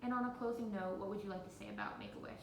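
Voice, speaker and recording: Fast-talking woman interviewer, echoey noisy room. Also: fairly even intonation. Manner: encouraging. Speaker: interviewer and coach. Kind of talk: interview questions and informal coaching feedback. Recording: roomy, noisy phone recording with rumble.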